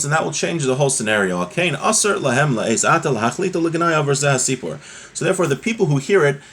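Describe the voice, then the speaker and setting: A man's voice: fairly high pitch, a bit bright. A man giving a religious lesson, reading from a text and explaining it conversationally with rhetorical questions. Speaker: religious teacher.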